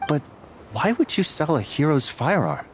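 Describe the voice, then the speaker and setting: A man's voice: a little high, very animated, moderate pace, a little hiss or hum. A man playing an earnest, respectful young soldier in an anime-style game, sincere and curious. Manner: sincere, polite.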